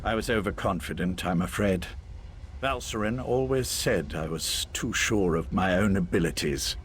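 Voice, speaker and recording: An actor playing a calm, self-sacrificing mage in a video game, measured, formal and wry with quiet regret. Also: male voice, sits low, slight background noise, lightly acted. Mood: resigned, regretful.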